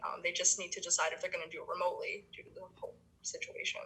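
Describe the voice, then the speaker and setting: A woman's voice: on the low side, level tone, racing, bright, forceful tone, slightly rough, low-quality recording. A young woman from the Philippines, a university student, chatting casually in an interview with many ums and restarts. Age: young adult.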